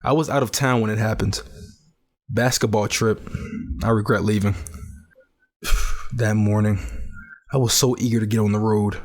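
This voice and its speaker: A man's voice: lowish voice, fairly even intonation, moderate pace, long pauses. A man playing a grieving, bitter teenage survivor in a post-apocalyptic audio drama, earnest and brooding. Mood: guarded, regretful. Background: music.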